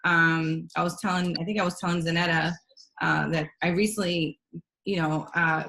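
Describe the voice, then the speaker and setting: A woman's voice: fairly low pitch, level tone, moderate pace, vocal fry, a bit bright. A woman of Dominican and Puerto Rican family speaking conversationally on a panel, with frequent fillers and restarts. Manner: conversational, hesitant, many fillers. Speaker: panel member. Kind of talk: casual video-call discussion.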